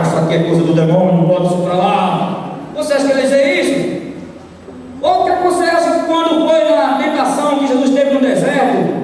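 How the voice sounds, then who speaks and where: A man preaching a sermon, swinging from loud high outbursts to low asides. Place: large church hall. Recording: echoey hall from far off.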